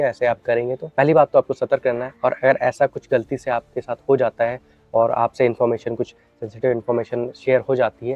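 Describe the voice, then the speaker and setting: Male host for informal how-to advice, flat delivery, instructive and repetitive; dry, close sound. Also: mid-range voice, no room sound, muffled recording. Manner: repetitive, instructive, mixes English words into speech. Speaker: host of an explainer video channel. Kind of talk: informal explainer, advising viewers directly.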